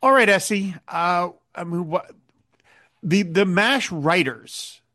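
A man's voice: sits high, lively intonation, slow pace, sharp tone. A man hosting a podcast who recaps an episode and chats thoughtfully with many hesitations. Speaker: podcast host. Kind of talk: episode recap and casual chat.

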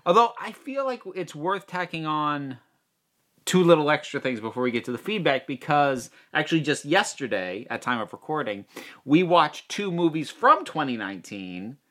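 Bright guy cohosting a podcast, chatty, reads out listener mail. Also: fairly high pitch, moderate pace. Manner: relaxed, chatty, reads listener letters aloud. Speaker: podcast co-host. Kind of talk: casual chat, reading listener feedback.

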